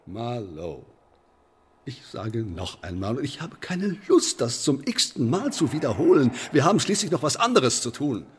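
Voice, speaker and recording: An actor playing a menacing, sardonic Elizabethan English sheriff in a German radio drama, officious and mock-polite, with deliberate emphasis. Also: male voice, mid-range voice, big pitch swings, slightly rough, heavily acted. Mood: impatient insistent.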